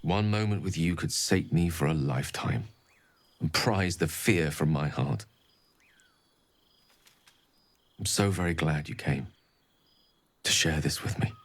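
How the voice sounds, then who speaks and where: An actor playing an eloquent, melancholy wizard in a fantasy game, speaking in an intimate, poetic and measured way. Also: male voice, sits low, no room sound. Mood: tender, yearning. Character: romantic eloquent wizard man, wistful and melancholy. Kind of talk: fantasy video game dialogue acting.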